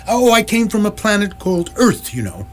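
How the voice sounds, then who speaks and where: Man playing a bewildered, polite space traveler, swooping pitch. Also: very animated, moderate pace, faint music behind. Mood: wistful. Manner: hesitant, startled, polite. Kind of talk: live radio theatre acting. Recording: noisy live stage recording with hum.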